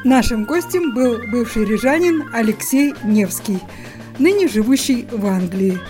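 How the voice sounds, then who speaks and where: Higher-voiced woman radio host. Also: expressive, measured pace, music in the background. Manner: polite, prompting questions. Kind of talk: radio interview and outro.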